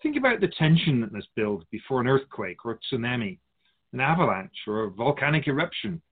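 A man plays a wise otherworldly guardian of souls in a radio drama, patient and reassuring. The voice is husky and swings widely in pitch, with a measured, philosophical delivery. The recording is a little dull and narrow. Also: big pitch swings. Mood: grave, explanatory. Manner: measured, philosophical, musing, addressing humanity as an outsider.

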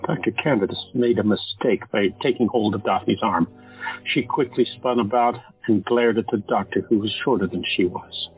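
Low-voiced male drama narrator. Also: moderate pace, husky. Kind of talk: scripted audio drama narration. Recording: lo-fi, phone-like, with music.

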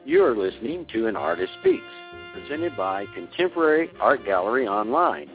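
Lower-voiced man hosting on a tinny line. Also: sing-song, moderate pace. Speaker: radio show host. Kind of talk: scripted intro then interview questions. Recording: tinny phone-like audio with faint music.

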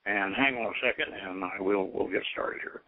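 A man leading a ritual as host, moving between informal instruction and solemn invocation in a halting, deliberate manner. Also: fairly low pitch, background noise. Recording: narrow tinny phone-like radio sound.

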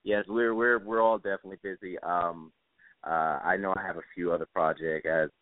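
Deep-voiced man on a roomy phone line. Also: steady tone, fairly quick. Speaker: guest, stage actor. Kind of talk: casual interview talk.

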